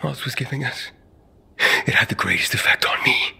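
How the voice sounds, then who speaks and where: Bright-voiced, tormented young man. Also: mid-range voice, animated, vocal fry, husky, exaggerated voice. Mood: guilty, despairing. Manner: halting, anguished. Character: tormented, guilt-ridden young male survivor turning monstrous. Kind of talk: video game cutscene acting.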